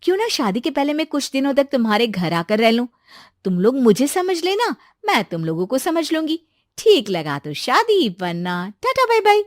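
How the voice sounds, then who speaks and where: A woman voicing an eager, outspoken young bride-to-be in an animated, chatty way for a story. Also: sits high, moderate pace, no room sound, studio quality.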